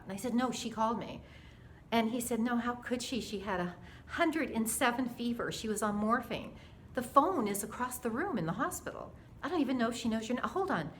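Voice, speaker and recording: A mature woman telling a personal faith story in an earnest, dramatic, animated way, acting out the dialogue as she goes. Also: fairly high pitch, slight background noise.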